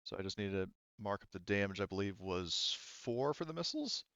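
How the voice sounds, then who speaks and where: Low, clear-voiced man running a game, slightly dull mic. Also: very animated, fairly quick, sharp tone, dry recording. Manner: informal, swears casually, thinks aloud. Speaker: game master. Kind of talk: running an online tabletop roleplaying game, rules talk.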